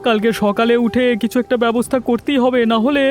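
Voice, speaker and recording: A man acts out a quarrelsome village husband for a cartoon tale. His voice sits high for a man and pushes its words out with emphasis, indignant and ready to scold. Soft music runs behind him. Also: fairly even intonation, quick pace. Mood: worried, scolding. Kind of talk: Bengali cartoon dialogue acting.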